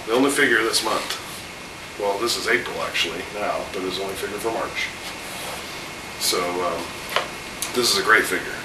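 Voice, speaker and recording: A man's voice: fairly low pitch, normal speed, pauses often. Man with a cold, rambling casually. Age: 30s. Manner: chatty, rambling with fillers. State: has a cold, voice a little off. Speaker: host. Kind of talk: casual home video chat. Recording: echoey room, distant mic, air conditioner hum.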